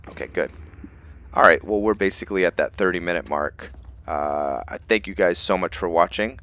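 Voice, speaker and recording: Low-voiced man doing casual gameplay commentary. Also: normal speed, bright, forceful tone, dull audio. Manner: enthusiastic, chatty, thinking aloud. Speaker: gaming video host.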